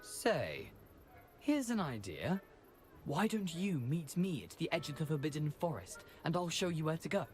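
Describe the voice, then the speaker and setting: An actor playing a headless schoolboy ghost in a video game, British, chatty and theatrical, with rueful, self-deprecating wit. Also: male voice, on the high side, sing-song, moderate pace, slight background noise. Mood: breezy, wry. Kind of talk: video game character acting.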